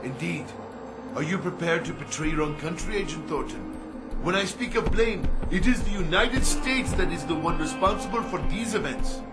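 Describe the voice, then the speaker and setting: A middle-aged Saudi arms dealer, played by a man, talks in a fairly high voice. He is formal, grave and calculating, a video game power broker with clear music behind him. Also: animated, natural voice. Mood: solemn, probing. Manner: formal, measured phrasing.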